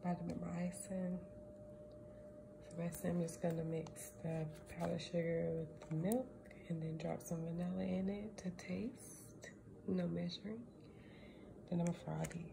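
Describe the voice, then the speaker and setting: A woman's voice: lowish voice, steady tone, normal speed. A woman giving casual, slangy commentary as she cooks at home, filming on a phone. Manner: informal, slangy, pleased murmuring asides. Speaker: home cook vlogger. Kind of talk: casual cooking commentary while working. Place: home kitchen, indoors. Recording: clearly noisy home phone video.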